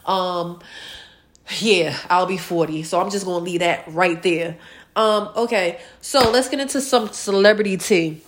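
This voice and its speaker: A woman's voice: lowish voice, a little slow. Blunt, emphatic woman chatting casually. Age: late 30s, turning forty. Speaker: host of a live online show. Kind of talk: casual celebrity gossip and personal chat to followers.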